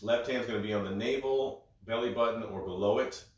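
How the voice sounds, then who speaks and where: Calm, slightly congested man in echoey room. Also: fairly low pitch, fairly quick. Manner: calm, gentle step-by-step cueing. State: a little congested. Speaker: breathwork instructor. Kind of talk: guided breathing instruction.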